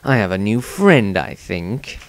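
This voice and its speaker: A man voicing a cold, misanthropic fighter with a deliberate, contemptuous manner in a fantasy diary reading. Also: lowish voice, very animated, no echo. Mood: cold menace. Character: cold, misanthropic male fighter, menacing and scornful.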